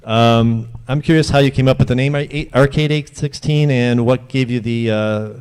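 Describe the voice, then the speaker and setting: A man moderating a convention panel, asking the panelists questions in a halting way as he searches for words. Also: medium pitch, steady tone, darkish tone, clean tone.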